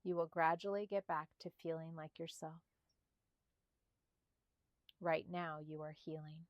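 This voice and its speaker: A woman guiding a meditation in a soft, slightly breathy voice, speaking calmly and soothingly in short, spaced phrases. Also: low-pitched, a little slow, no room sound, clean studio sound. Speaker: meditation guide. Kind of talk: guided meditation narration.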